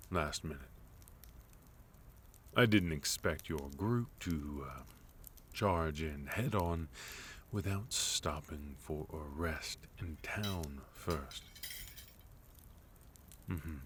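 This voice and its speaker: A man's voice: rumbling low, slight background noise, full character voice. A man playing a sophisticated villain leader in a fantasy ASMR roleplay, polite, formal and soothing as he dotes on a captive guest. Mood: apologetic, measured.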